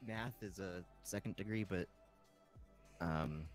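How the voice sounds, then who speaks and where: A man chatting casually while gaming, with halting, hesitant phrasing. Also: low voice. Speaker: player in an online game session. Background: music.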